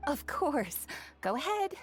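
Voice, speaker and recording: A woman voicing a gentle shopkeeper of a non-human race in a game, friendly and unhurried. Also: high voice, very animated, soft background music, heavily acted.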